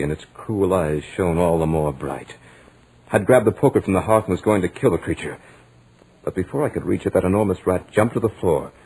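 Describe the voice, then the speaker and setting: A man playing a scholarly gentleman lodger, narrating a haunted-house ordeal with dramatic, deliberate emphasis in 1940s radio style. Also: low voice, breathy edge, poor audio. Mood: tense, suspenseful. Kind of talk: 1940s radio horror acting, first-person narration. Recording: vintage 1940s radio recording, music behind.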